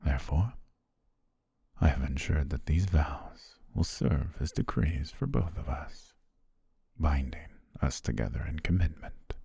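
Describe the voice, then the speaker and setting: A man voicing a devoted male butler marrying his princess, speaking formally in a soft, half-whispered way that hints at quiet control. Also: extremely low pitch, expressive, a little slow, gravelly, slightly rough, no room sound, exaggerated voice. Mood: tender, hushed. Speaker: ASMR roleplay voice actor. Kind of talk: intimate ASMR roleplay monologue.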